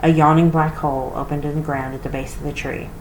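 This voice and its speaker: A woman reading a storybook aloud as narrator for a library, with expressive delivery. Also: low-pitched, quick pace. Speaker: reader for a library read-aloud. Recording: roomy echo with clear noise.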